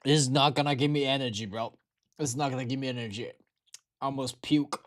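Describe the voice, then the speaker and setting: A man's voice: mid-range voice, fairly even intonation, brisk. A tired young man from Taiwan, chatting to viewers on a livestream in slangy, swearing speech. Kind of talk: casual livestream chat.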